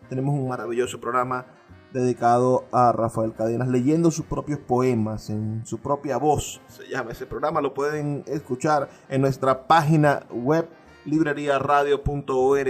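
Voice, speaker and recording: A middle-aged man hosts a radio program at an ordinary pitch, thinking aloud with pauses and restarts. His words carry an earnest, reflective weight, and soft instrumental music sits faintly behind him. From Venezuela. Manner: earnest, musing, with frequent pauses and repeated phrases. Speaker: radio host.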